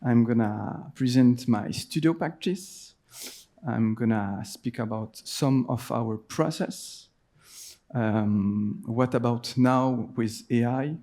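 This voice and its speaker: Young Swiss French man, mellow voice. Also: medium pitch, takes it slowly. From Switzerland. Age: 20s. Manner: hesitant, frequent fillers and restarts. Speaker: designer giving a conference talk. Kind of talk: presentation talk.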